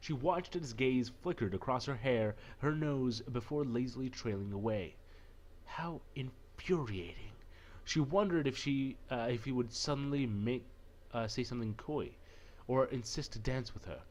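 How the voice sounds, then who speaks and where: A man's voice: mid-range voice, moderate pace, darkish tone, slight background noise. A man hosting a gaming video, reading a visual novel aloud in a casual way and dropping in playful, irreverent asides.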